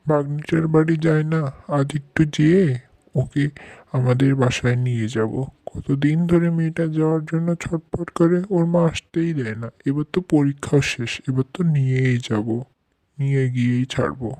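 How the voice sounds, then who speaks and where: A man's voice: fairly high pitch, fairly even intonation, moderate pace, dark tone, no echo, natural voice. A man playing a doting, reassuring uncle in an amateur cartoon dub. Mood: cheerful anticipation.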